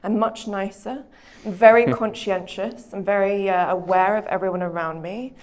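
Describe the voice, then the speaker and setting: A young adult British woman, an author, talking reflectively in a stage conversation, pausing often with fillers. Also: mid-range voice, fairly even intonation, darkish tone. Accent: British English. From Britain. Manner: thoughtful, hesitant, with frequent fillers.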